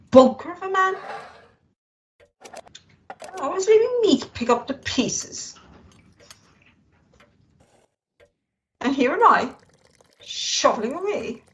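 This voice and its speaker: A woman's voice: high-pitched, fairly bright tone, lo-fi sound, heavily acted. A woman playing a put-upon, resentful but devoted worker in a rueful, grumbling radio-play monologue. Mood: exasperated.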